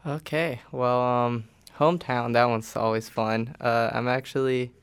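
Young guy from venezuela, smooth but gravelly voice, laid back, thinking out loud. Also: medium pitch, a little slow. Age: young adult. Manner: relaxed, thinks aloud. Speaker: guest, college student. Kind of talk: casual chat.

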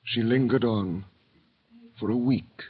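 An actor playing an embittered, anguished imprisoned doctor, narrating gravely and deliberately in old radio drama style. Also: male voice, medium pitch. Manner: grave, measured, declamatory. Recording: dull vintage radio recording.

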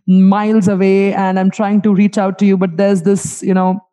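A woman with an Indian accent hosts a casual interview. Her voice is mellow and dark-edged, without sharpness. She rambles and doubles back on words as she builds each question. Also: mid-range voice, flat delivery, moderate pace.

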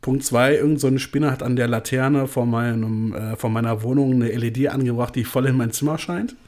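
A man's voice: mid-range voice, steady tone, fairly quick, a little mellow. A young man co-hosting a podcast, dry and matter-of-fact, running through his list of points. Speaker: podcast co-host. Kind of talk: casual banter.

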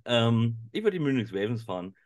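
German male co-host, casual and musing, average pitch. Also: very animated, fairly quick, a little mellow. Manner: thinks aloud with fillers. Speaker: podcast co-host. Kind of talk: casual podcast chat.

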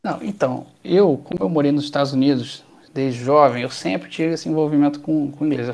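Carioca guy running for office, gravelly voice, lots of ums and restarts. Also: fairly high pitch, fairly quick, darkish tone. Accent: Carioca Portuguese. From Rio de Janeiro, Brazil. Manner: hesitant, many fillers and restarts. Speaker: political candidate. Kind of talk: interview conversation.

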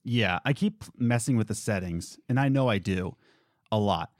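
A male podcast co-host in casual chat who shifts between a low relaxed voice and loud, high bursts when excited. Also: moderate pace, no room sound, studio quality. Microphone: studio podcast mic.

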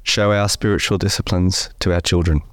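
A man co-hosting a faith podcast, slightly croaky from a cold, talking in a relaxed way with many fillers. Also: low-pitched, fairly quick, no echo, clean studio sound. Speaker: podcast co-host. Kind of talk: casual faith podcast chat.

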